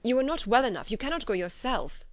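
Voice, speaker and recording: A woman reading the part of a concerned young gentleman, speaking gently but with restraint. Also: a little high, animated, fast talker, dry recording, pitched above the performer's own voice, natural voice. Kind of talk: audiobook character voice.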